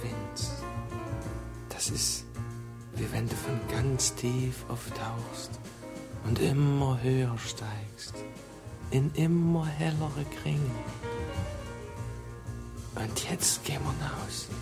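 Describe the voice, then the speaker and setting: A woman's voice: a bass voice, steady tone, slow, vocal fry, long pauses, music in the background, heavily acted. An actress playing a dreamy, exhausted young woman in a German radio drama. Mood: tender, dazed.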